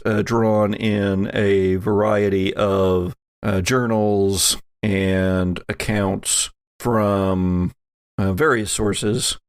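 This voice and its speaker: A man narrating a tabletop roleplaying game as game master, speaking in a halting, hesitant way. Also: fairly low pitch, vocal fry, no echo, studio quality.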